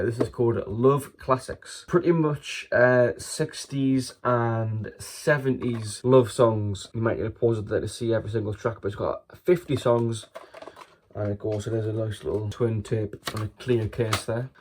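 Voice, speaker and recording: A man's voice: medium pitch, a little mellow, slight background noise. A man with a British accent casually presenting a collection as a video host, in a plain, matter-of-fact way. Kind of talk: casual show-and-tell.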